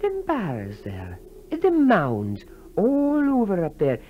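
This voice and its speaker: On a muffled old radio recording with faint noise, a sly country man speaks in folksy, falsely deferential phrases. His pitch leaps about dramatically as he insinuates more than he says about ancient rites. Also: huge pitch swings, takes it slowly. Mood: sly, probing. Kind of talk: 1960s radio drama acting.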